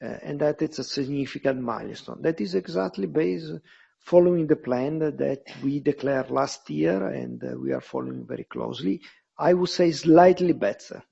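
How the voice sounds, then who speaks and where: A man with an Italian accent speaks as a company executive answering questions on an earnings call, explaining in a halting, deliberate way and repeating phrases. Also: fairly high pitch, vocal fry, warm tone, breathy edge, muffled recording.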